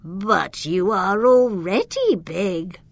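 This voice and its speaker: A wise old frog magician, voiced by a woman reading a storybook, croaking and kindly, with slow, lilting lines. The sound is close and dry. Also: medium pitch, animated, no echo.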